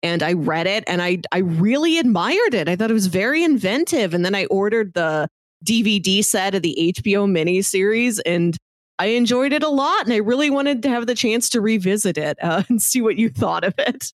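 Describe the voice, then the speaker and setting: A woman's voice: medium pitch, lively intonation, fairly quick, dry recording, studio quality. A woman co-hosting a casual film discussion, explaining things in an articulate, detailed way. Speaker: podcast co-host.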